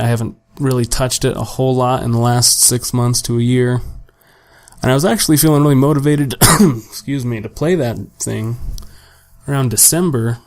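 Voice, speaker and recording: A young man, slightly raspy from a long day of talking, hosting a solo podcast at home and rambling casually and profanely about his week, with plenty of self-deprecation. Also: medium pitch. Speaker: podcast host. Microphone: home desk microphone without pop filter.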